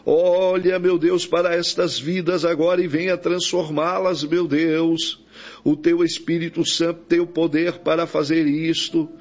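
Brazilian preacher praying in creaky, even tones. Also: male voice, a little high, measured pace. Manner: pleading, with frequent invocations. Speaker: preacher leading a prayer. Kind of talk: extemporaneous evangelical prayer. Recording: dull, lo-fi audio.

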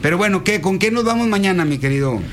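A man's voice: high-pitched, expressive, fast talker, fairly bright tone, a little hiss or hum. A man hosting a casual football talk show on the radio, rambling and restarting his phrases. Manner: rambling, restarts and repeats himself. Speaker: sports radio host.

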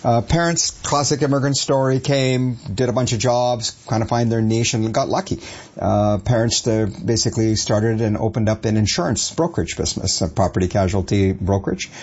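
A man in his fifties from Canada, born in India, answering interview questions in a measured, halting conversational way. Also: mid-range voice, darkish tone, husky, highs cut off. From born in India, raised in Calgary, Canada. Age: 50s. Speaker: business association chief executive, guest.

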